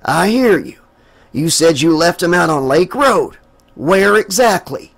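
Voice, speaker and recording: A man playing a calm, steady small-town sheriff. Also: high voice, unhurried, gravelly, full character voice. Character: middle-aged male sheriff, calm and soothing.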